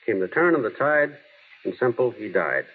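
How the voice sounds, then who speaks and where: Old tinny broadcast, mild small-town innocent, folksy, earnest, animated pitch. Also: male voice, normal speed, slightly rough. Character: earnest, naive small-town young man, mild and plainspoken. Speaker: lead actor in a radio drama. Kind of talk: old-time radio acting. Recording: tinny, noisy vintage radio broadcast.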